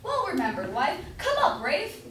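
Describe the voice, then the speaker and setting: A woman's voice: extremely high pitch, wildly swooping pitch, moderate pace, a bit bright, heavily acted. Woman playing a blustering grocer, echoey and distant. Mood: proud, bossy. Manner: blustering, demanding, emphatic. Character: bossy, meddling male citizen grocer, proud husband. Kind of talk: amateur staged reading of Jacobean comedy. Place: indoor room at a college, far from mic. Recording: distant echoey room, faint noise.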